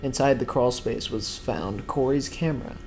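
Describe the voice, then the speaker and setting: A man's voice: mid-range voice, normal speed, darkish tone, a little hiss or hum. A man narrating an explainer about a horror series in a scripted recap style. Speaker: video narrator.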